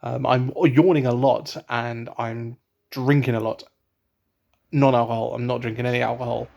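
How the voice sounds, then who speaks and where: Casting note: young English guy, laptop webcam at home, gravelly, monotone, halting. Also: mid-range voice. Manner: hesitant, many ums and restarts. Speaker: vlogger. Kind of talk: casual unscripted vlog. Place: at home, indoors.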